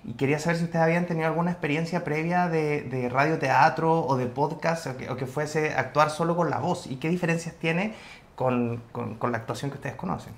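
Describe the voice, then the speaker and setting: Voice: medium pitch, brisk. A podcast host asking questions in a conversational interviewing style.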